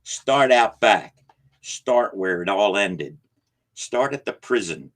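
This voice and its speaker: A man voicing an aging rural man who scolds in rough, folksy grammar, angry and frightened. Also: fairly low pitch, moderate pace, close to an everyday voice, low-quality recording. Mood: urgent, scolding. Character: gruff aging backwoods man, scared and angry.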